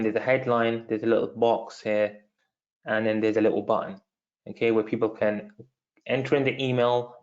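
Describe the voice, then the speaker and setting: A man's voice: lowish voice, darkish tone, lo-fi sound. A man from London with a London accent, hosting a live online marketing training, casual and full of fillers. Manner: casual, many fillers, talks to viewers as guys. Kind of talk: live webinar sales training.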